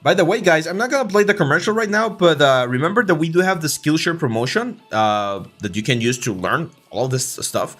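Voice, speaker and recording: A man with a Mexican accent talks through his 3D modeling work on a livestream, easygoing and full of "uh" and "like". He speaks into a desk mic, and soft music plays faintly underneath. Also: a little high, fairly quick, hardly any pauses. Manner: relaxed, filler-heavy, many restarts. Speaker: 3D art instructor and livestream host. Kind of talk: casual livestream tutorial, reading chat.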